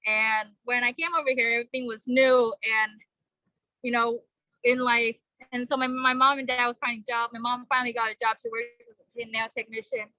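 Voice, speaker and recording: Bright, earnest young woman at a vigil. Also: a little high, level tone, no room sound, poor audio. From Vietnam. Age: young adult. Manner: earnest, halting, many fillers. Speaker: vigil speaker. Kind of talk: personal speech at a vigil.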